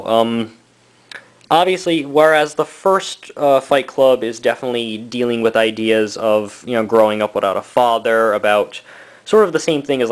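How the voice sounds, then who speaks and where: A man in his thirties giving a casual, rambling review, halting often with fillers and short laughs. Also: lowish voice. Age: 30s. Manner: laughs between thoughts. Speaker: book and comic reviewer.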